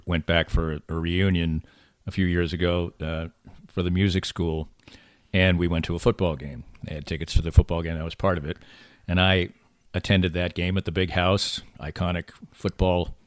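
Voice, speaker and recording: A man's voice: low-pitched, level tone, normal speed, dry recording, studio quality. An American man hosting a conversational interview, asking questions and telling anecdotes in an easygoing way. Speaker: interviewer, host.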